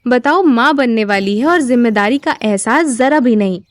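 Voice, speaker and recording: A woman playing a caring, worried, traditional wife who gently scolds in an audio drama. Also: a little high, animated, normal speed, close to an everyday voice. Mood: fretful scolding.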